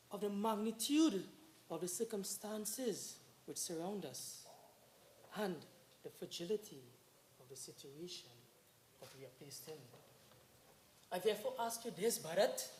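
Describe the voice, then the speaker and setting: Young man acting slow, solemn exiled prince, echoey hall. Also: high-pitched, a little mellow. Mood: solemn, gentle. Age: young adult. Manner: solemn, measured, declamatory with dramatic pauses. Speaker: student stage performer. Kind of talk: theatrical devotional monologue. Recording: echoey hall, noticeable background noise.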